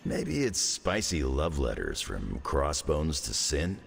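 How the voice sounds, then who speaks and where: An actor playing a gruff, wisecracking clawed mutant veteran in a video game cutscene, with a sarcastic, joking manner. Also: male voice, big pitch swings, slow pace, a little hiss or hum, exaggerated voice. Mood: mocking. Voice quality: gravelly, very deep.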